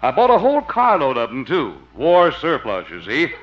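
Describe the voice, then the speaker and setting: An actor playing a flattering, folksy con man of a salesman in a 1950s radio comedy, ingratiating in manner. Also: on the high side, moderate pace. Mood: folksy sales patter. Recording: dull lo-fi old radio recording.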